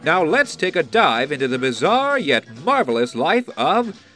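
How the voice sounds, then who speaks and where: Superhero-serial narrator, male actor: dramatic, campy, very animated, cheeky, over soft backing music. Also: fairly high pitch, unhurried, full character voice. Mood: grand, playful. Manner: showman-like, dramatic. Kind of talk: campy old-time serial scripted narration.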